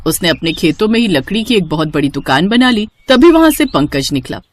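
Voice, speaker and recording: A woman's voice: lowish voice, very animated, a little fast. A woman narrating a scripted moral story as its storyteller.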